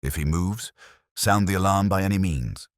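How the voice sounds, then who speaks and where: A man voicing a shrewd, commanding Victorian detective in an audiobook, with deliberate, grave pronouncements. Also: low voice, no echo, clean studio sound, pitched above the performer's own voice, natural voice. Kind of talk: audiobook character dialogue.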